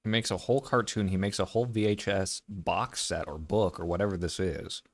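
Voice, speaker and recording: A man's voice: sits low, fairly even intonation, fairly quick, no echo, studio quality. A man hosting a casual reaction, commenting analytically and explaining what he notices.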